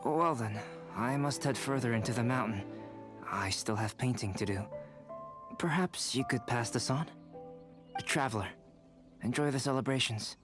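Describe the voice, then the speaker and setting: Calm, reserved young male scholar acted by a man. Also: mid-range voice, music in the background, close to an everyday voice. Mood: composed. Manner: measured, polite. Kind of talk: video game voice acting.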